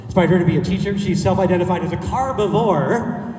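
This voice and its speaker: A man's voice: high-pitched, a little fast, warm tone. A man emceeing a conference event, introducing speakers with playful jokes and banter. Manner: playful, joking banter with the audience. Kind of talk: lively stage introductions. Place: large conference hall stage. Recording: echoey hall from far off, crowd audible.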